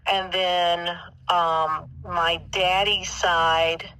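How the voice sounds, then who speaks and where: Slow, bright-voiced Southern woman. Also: lowish voice, level tone, clean tone, low-quality recording. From South Carolina, United States. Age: 50s. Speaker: interviewee, mother of the interviewer. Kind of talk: casual family interview, reminiscing.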